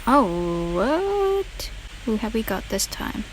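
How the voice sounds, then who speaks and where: A woman's voice: fairly high pitch, very animated, takes it slowly, a little hiss or hum, low-quality recording. A young adult woman giving casual, slangy, reactive commentary while playing a video game.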